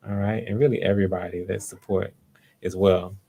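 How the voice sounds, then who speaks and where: A man with a fairly low voice hosts a casual livestream. His pitch stays fairly flat and steady as he chats with viewers. He comes across as encouraging and appreciative. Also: a little fast, creaky, husky. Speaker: livestream host.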